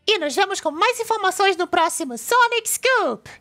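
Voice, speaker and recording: A woman's voice: very high-pitched, lively intonation, moderate pace, bright, forceful tone, exaggerated voice. A woman voicing a cheerful, brainy young male fox who presents a cartoon web show, eagerly explaining things with a Brazilian accent. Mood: upbeat.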